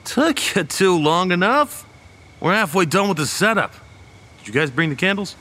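Cocky, easily needled young college dude, bright high voice, animated and flippant, horror audio play. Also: male voice, moderate pace, a little hiss or hum, full character voice. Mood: casual, impatient. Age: young adult. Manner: flippant, casual, slangy. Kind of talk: radio horror drama acting.

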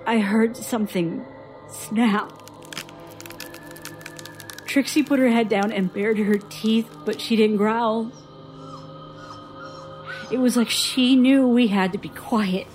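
A haunted woman recalls childhood terrors in a horror audio drama. She speaks very slowly and haltingly, with an uneasy, suspenseful hush and faint music underneath. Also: fairly high pitch, steady tone, rough recording. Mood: haunted, tense. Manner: slow, halting, hushed suspense. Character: haunted woman recounting frightening childhood memories to a doctor.

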